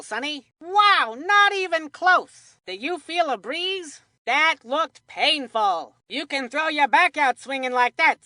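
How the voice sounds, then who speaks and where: High, bright kid sportscaster. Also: female voice, no echo, studio quality. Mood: amazed, wincing. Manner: folksy, earnest announcer delivery. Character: eager, chatty kid sports commentator boy. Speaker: sports commentator. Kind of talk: cartoon game sportscast commentary.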